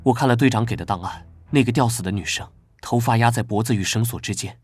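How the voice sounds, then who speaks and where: An actor playing a guarded, prickly young knight vice-captain who speaks curtly and earnestly, in an animated drama dub. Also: male voice, medium pitch, quick pace, no room sound, close to an everyday voice. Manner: curt, wary and earnest. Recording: background music under dialogue.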